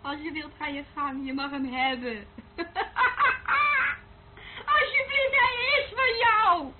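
A woman's voice: high voice, lively intonation, slow pace. A woman acting a brazen, seductive, volatile agent in a Dutch radio play, with a theatrical, taunting manner. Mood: mocking. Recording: narrow phone-like old radio recording, faint noise.

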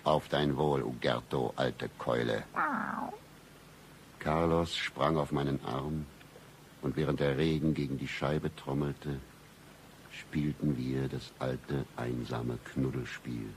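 An actor playing a cynical, deadpan hard-boiled private detective in a satirical noir radio play, with a husky voice. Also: male voice, slow pace, slight background noise, heavily acted. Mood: brooding, world-weary. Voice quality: very deep, husky, gravelly. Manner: deadpan, accusing, melodramatic.

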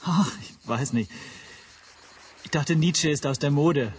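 An actor playing a nervous Hungarian teacher on holiday, making halting, tentative small talk in a radio drama. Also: male voice, a little high, slightly rough. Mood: feigned casualness.

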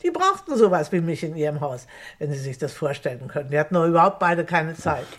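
A woman's voice: deep voice, very animated, quick pace. A woman acting a garrulous, good-natured housekeeper in a crime radio play, talking in a homely, folksy way. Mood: chatty, amused.

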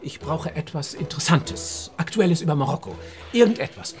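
Actor as effusive, emphatic newspaper boss. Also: male voice, on the high side, animated, a little fast, over music, close to an everyday voice. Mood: flustered, urgent. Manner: emphatic, courteous, demanding. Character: nervous, effusive male newspaper boss, family man. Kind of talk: German radio play acting.

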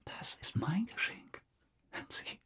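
A man's voice: slow pace, bright, forceful tone, whispered. An actor playing a boastful, weary male suspect who rambles through a confession in a naturalistic radio drama. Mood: hushed, intense. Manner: rambling confessional monologue, acting out remembered exchanges.

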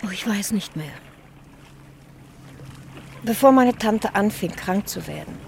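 A woman's voice: medium pitch, lively intonation, slow, gravelly. A woman playing a timid, modest, ageing spinster niece in a 1960 German radio play. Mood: wistful. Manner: hesitant, shy, self-effacing. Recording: noisy old radio recording.

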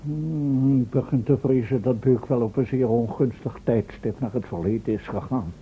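A man's voice: normal speed, natural voice. A man playing an impatient, worried professor and inventor in a Dutch radio play, hesitating and mildly scolding. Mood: worried, musing. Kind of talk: Dutch radio drama acting. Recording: thin phone-like old radio recording, noisy, rumble.

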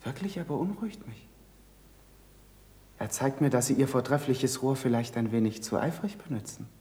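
A man's voice: mid-range voice, expressive, moderate pace, mellow, slight background noise, close to an everyday voice. Man playing evasive grand duke in reverberant hall. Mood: politely evasive. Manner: polite, condescending. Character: courteous, evasive male grand duke, condescending. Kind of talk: radio drama acting.

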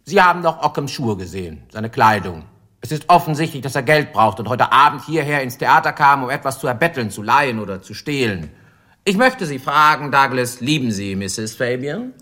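An actor playing a blunt, suspicious, weary police lieutenant questioning suspects in a sardonic way in a radio crime drama. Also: male voice, medium pitch, expressive, normal speed, sharp tone. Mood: accusing, sardonic. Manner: probing, sardonic.

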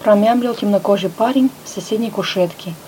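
Female storyteller, first-person narration, mellow voice, expressive delivery, light background hiss. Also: medium pitch, a little fast, low-quality recording. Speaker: audiobook narrator.

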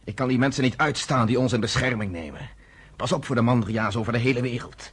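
A man's voice: mid-range voice, fast talker, breathy edge, natural voice. A man in his thirties playing a blunt, commanding underground leader in a radio play. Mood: bitter. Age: 30s.

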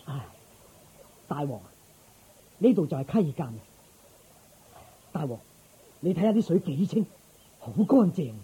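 An attentive royal guard from a Cantonese radio drama. His voice is husky and muted, swinging widely in pitch as he speaks with formal deference to his master. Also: big pitch swings, takes it slowly, long pauses, mellow, lo-fi sound, exaggerated voice. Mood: eager, obliging.

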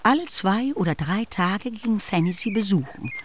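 A woman's voice: mid-range voice, animated, normal speed, slight background noise. A woman reading scripted literary narration for a radio drama in a composed manner.

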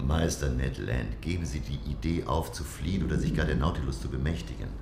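An actor playing a learned, cautious professor, thoughtful and a little hesitant, with a gravelly voice. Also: male voice, very deep voice, brisk, noisy background. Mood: cautioning. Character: learned, cautious, awestruck professor aboard a submarine. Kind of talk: German radio play dialogue. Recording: roomy, music behind.

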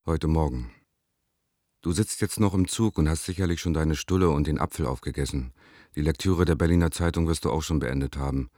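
A man's voice: rumbling low, darkish tone, dry recording, studio quality. An actor playing a wistful lover who reads his letter to an absent girlfriend in a radio drama, tender, brooding and plain-spoken. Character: Leon, wistful lover writing to departed girlfriend.

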